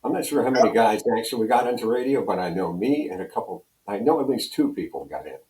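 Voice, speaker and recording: A man's voice: lowish voice, animated, fast, darkish tone. An older American man from Pennsylvania, a radio program director, telling casual, folksy, self-deprecating anecdotes as a guest. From Pennsylvania, USA. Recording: roomy echo, distant from microphone.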